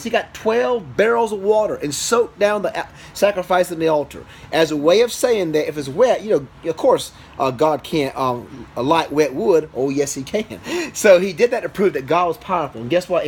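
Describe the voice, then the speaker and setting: A man, a preacher and father, telling an improvised Bible story to a child in an animated, interactive way. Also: fairly high pitch, normal speed, few pauses, breathy edge. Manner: animated, interactive, checks on listener with questions. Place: small office in a house. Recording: noisy amateur home recording.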